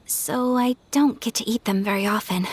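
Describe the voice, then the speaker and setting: A woman voicing a polite, composed and gentle young noblewoman in an anime game. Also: medium pitch, moderate pace, close to an everyday voice.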